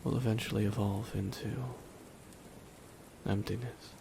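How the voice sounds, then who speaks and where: Man playing a low, brooding, grieving supervillain. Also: little pitch movement, creaky, noisy background. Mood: somber regret. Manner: slow, deliberate, brooding monologue with long pauses. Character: grieving, resigned male supervillain, solemn and regretful. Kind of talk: dramatic ASMR roleplay monologue. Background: rain falling.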